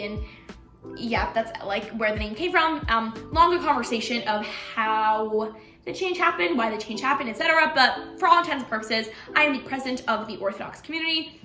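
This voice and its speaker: A young woman whose first language is Russian, chatting casually with many fillers about leading a student community. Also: a little high. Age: young adult. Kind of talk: casual chat. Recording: echoey room with music.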